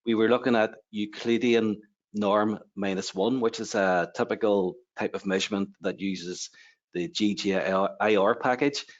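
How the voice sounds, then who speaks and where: A man's voice: fairly low pitch, fairly even intonation, unhurried. A man from Ireland with an Irish accent, a lecturer explaining his work on a webinar panel. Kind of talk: explanatory presentation. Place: online webinar. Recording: dull, lo-fi webinar audio.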